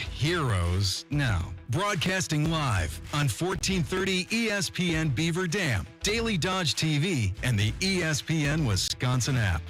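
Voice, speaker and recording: A man reading a scripted radio show intro in a slow, drawn-out announcer style. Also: fairly high pitch, very animated, a bit bright. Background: guitar music.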